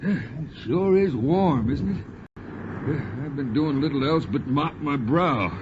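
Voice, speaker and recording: A man playing a jovial, chatty older ship passenger in a 1940s radio drama, with a hearty, halting manner. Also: a little high, animated. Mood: incredulous, hot. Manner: hearty, hesitant uhs, incredulous chuckling. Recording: muffled, noisy 1940s radio recording.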